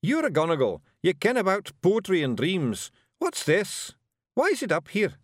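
Man doing a gruff Scottish pictsie chief. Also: high voice, wildly swooping pitch, normal speed, dry recording, studio quality, pitched above the performer's own voice, full character voice. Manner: brusque, commanding. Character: gruff small male pictsie clan chief.

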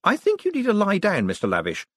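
A man voicing a quick-witted, cheerful man in an audiobook, polite, coaxing and controlled, with a wry edge. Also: a little high, huge pitch swings, no room sound, clean studio sound, voice pushed up. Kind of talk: audiobook character acting.

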